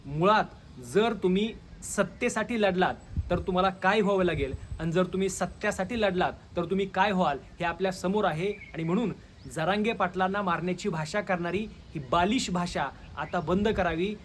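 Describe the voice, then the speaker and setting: A man with a high-set voice and a Maharashtrian Marathi accent gives opinion commentary to his audience. His delivery is rhetorical and confrontational. Slight background noise sits under the recording. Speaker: video commentator, channel host. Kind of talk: opinion commentary addressed to viewers.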